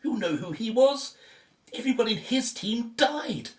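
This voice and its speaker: A woman's voice: on the high side, expressive, measured pace, a little mellow. A woman acting a shaken, superstitious expedition colleague in an audio drama, agitated and dramatic. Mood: panicked. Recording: roomy echo, distant from the mic.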